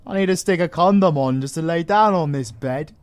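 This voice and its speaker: A man's voice: fairly high pitch, brisk, clean tone, close to an everyday voice. A British man playing a cocky, foul-mouthed supervillain in an audio roleplay, crude, teasing and sarcastic. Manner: crude, teasing, sarcastic, heavy slangy swearing. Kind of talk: audio roleplay acting addressed to the listener.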